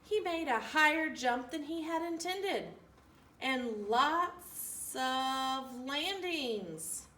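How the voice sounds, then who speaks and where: Woman, high lively voice, slow singsong children's read-aloud, echoey room recorded from a distance. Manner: animated, deliberate, singsong delivery. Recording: roomy, distant mic, faint room noise.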